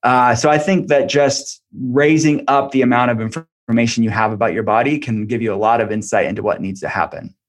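A man's voice: mid-range voice, brisk, few pauses. A man speaking as an expert podcast guest, explaining health matters in an informal, conversational way peppered with "like". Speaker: functional medicine doctor, podcast guest.

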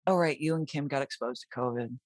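Animated woman in her forties, dry sound. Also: extremely low pitch, fast talker, no room sound. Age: 40s. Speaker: friend and co-worker. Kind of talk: casual chat.

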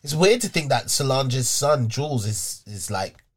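A man's voice: mid-range voice, big pitch swings, unhurried, husky. A man with a British accent chatting casually as a podcast co-host, often repeating words for emphasis. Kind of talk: casual chat.

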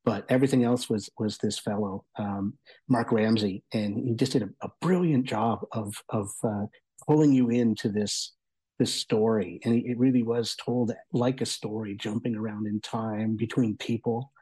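A man's voice: lowish voice, fairly even intonation, moderate pace, a little mellow, breathy edge, poor audio. A man giving an enthusiastic, rambling conversational review as a guest on a talk show. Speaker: guest reviewer of audio entertainment.

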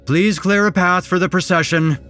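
A man voicing a commanding constable in an audiobook, loudly calling out authoritative orders to a crowd. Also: high voice, soft background music, pitched above the performer's own voice. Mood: firm, urgent. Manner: loud, drawn-out, authoritative.

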